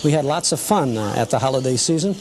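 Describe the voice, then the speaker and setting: A man presenting and interviewing as a TV host in a casual, chatty, halting way. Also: mid-range voice, lively intonation, a little mellow. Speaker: host of a nostalgia TV show. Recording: noticeable background noise.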